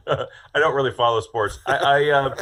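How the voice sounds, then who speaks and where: A man's voice: medium pitch, steady tone, unhurried, a bit bright. A man in his forties from Ohio, a guest in an interview, chatty and self-amused, rambling through his answers. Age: 40s. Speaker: film director guest. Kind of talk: casual interview chat.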